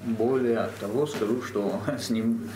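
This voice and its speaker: Brief: male theatre actor, mid-range voice, earnest, deliberate, roomy sound. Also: medium pitch, steady tone. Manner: earnest, measured, with hesitations. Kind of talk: panel discussion. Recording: echoey room, faint background hum.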